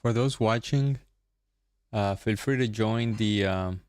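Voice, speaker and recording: Slow, deep man. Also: a little mellow, clear, resonant tone, no echo, studio quality. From Venezuela. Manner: hesitant, repeats words, trails off low. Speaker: day-trading livestream host. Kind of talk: casual livestream chat.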